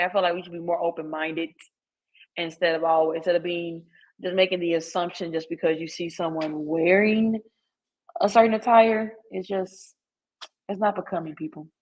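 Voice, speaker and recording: A woman's voice: lowish voice, rough recording. A woman hosting a podcast, talking in a chatty, frank way with laughs and filler words. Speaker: podcast host. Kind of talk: casual podcast chat.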